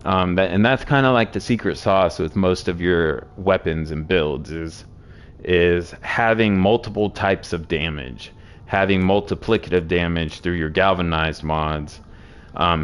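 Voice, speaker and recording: A hoarse man with a sore throat casually walking through game builds, rambling with many fillers. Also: sits low, measured pace. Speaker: game streamer explaining builds.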